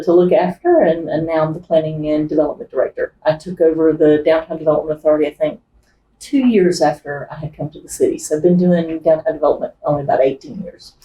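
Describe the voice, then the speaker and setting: A woman in her forties from Georgia, a city planning director answering interview questions in a relaxed, wry way. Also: deep voice, fast. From Georgia, USA. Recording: roomy, distant mic with faint noise.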